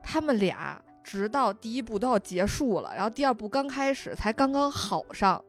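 A woman's voice: a little high, expressive, moderate pace. A woman co-hosting a casual podcast, retelling stories in a chatty way with joking asides, with music behind her. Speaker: podcast co-host. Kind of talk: casual chat, retelling drama plots. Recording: music under the voice. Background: background music.

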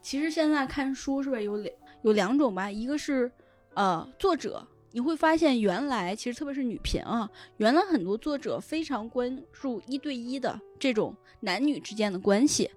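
Female podcast guest, higher-pitched voice, chatty and explanatory, casual conversation over background music. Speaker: guest, web-fiction editor. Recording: music behind the voice.

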